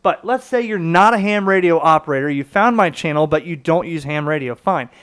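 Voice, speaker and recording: A man's voice: fairly high pitch, lively intonation, fairly quick, a bit bright, smooth. A man presenting a casual gadget demonstration, explaining things conversationally and often checking in with the listener. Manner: conversational, explanatory, poses questions to himself and checks in with "okay?". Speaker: product reviewer and presenter.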